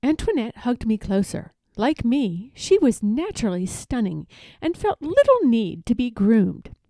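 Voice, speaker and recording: A woman reading a children's picture book aloud as an expressive, deliberate storyteller. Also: fairly high pitch, no room sound.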